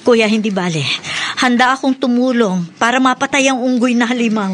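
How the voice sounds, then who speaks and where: A woman's voice: on the high side, a little fast, natural voice. An actress with a Filipino accent playing a concerned, brave mother in a horror radio drama. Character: concerned, brave mother, scientist's younger sister.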